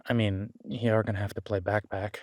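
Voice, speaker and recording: Easygoing, bashful werewolf biker played by a male actor, lowish voice, casual hesitant delivery, dry close intimate audio-drama sound. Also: flat delivery, moderate pace, no echo, close to an everyday voice. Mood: sheepish, affectionate. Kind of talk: intimate roleplay audio drama, one-sided conversation.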